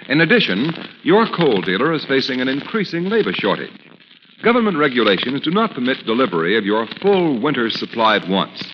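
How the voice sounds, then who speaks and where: Animated 1940s radio announcer, a man. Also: medium pitch. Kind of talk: 1940s radio commercial, scripted. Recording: muffled, noisy old radio recording, reverberant.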